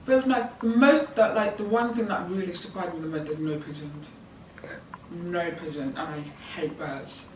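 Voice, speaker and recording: British female student intern, 19, BSL first language, slightly low voice, halting informal delivery; distant, noisy pickup. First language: British Sign Language. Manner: hesitant, rambling, many ums and likes. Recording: echoey room, distant mic, background noise.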